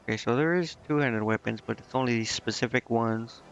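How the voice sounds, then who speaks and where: A man's voice: medium pitch, a little fast. A man giving casual gameplay commentary, thinking aloud and questioning himself as he goes. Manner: musing, questioning himself as he reads menus. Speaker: video game streamer. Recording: muffled lo-fi mic with clear background noise.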